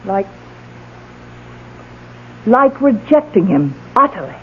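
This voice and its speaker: An actress playing a resolute older widow in a radio drama, speaking in a wry, matter-of-fact way. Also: female voice, mid-range voice, sing-song, slow, slightly rough, phone-quality sound, heavily acted. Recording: thin, noisy old radio recording.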